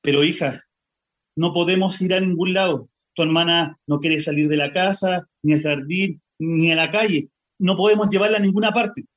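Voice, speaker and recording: Chilean guy as worried dad in a radio play, highish voice, anxious, muffled lo-fi sound. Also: fairly even intonation, moderate pace, lightly acted. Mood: exasperated. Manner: anxious, questioning. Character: worried, exasperated father. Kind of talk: radio drama acting. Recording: dull, lo-fi sound.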